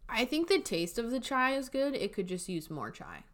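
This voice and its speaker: A woman's voice: on the high side, very animated, normal speed, a bit bright. A woman in her twenties co-hosting a podcast in casual, conversational chat. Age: 20s. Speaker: podcast co-host.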